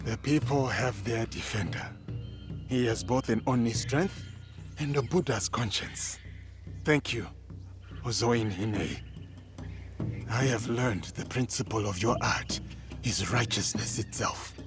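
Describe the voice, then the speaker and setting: An actor playing an earnest, humble samurai warrior in a video game, with formal and solemn phrasing. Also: male voice, medium pitch, measured pace, pauses often, music in the background, natural voice. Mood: solemn, thoughtful. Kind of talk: dramatic video game acting.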